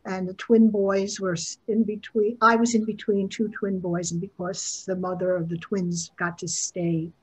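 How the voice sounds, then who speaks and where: A woman from New York with a husky voice, casually telling memories on a group video call. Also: medium pitch, flat delivery, normal speed, few pauses, a little mellow, poor audio. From the Bronx and suburban New York. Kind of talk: casual personal storytelling.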